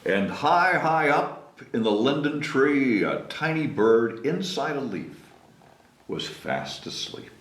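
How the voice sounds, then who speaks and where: A man's voice: medium pitch, sing-song, slow, fairly bright tone, slight background noise. A man hosting story time, reading expressively to children and chatting casually between passages. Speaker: story time host and reader. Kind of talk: expressive children's read-aloud with casual asides. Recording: echoey room.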